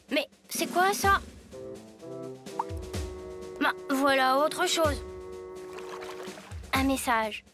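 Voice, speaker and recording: Plucky schoolboy hero, high-pitched, easygoing, often bemused, cartoon dub, music behind. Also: female voice.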